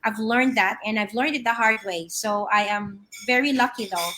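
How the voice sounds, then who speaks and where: A woman's voice: a little high, moderate pace, bright, forceful tone, slight background noise, low-quality recording. A middle-aged woman from the Philippines, a guest on a live video-call interview from her home office, telling her story with many fillers and restarts.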